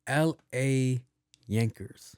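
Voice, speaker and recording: Soft-voiced man, mellow and dry. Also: drawn-out words, clean tone, dry recording. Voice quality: soft, not deep. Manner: loose and profane, with many restarts and repeats. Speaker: podcast host. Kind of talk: casual rambling monologue.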